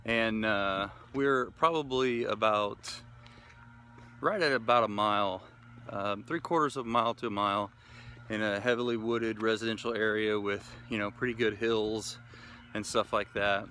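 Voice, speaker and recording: A man's voice: on the low side, fairly even intonation, normal speed, sharp tone, a little hiss or hum. An American man from the Kansas City area giving a casual, rambling gear review and field test, full of fillers and restarts. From Kansas City area, USA. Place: outdoors in wooded hilly area.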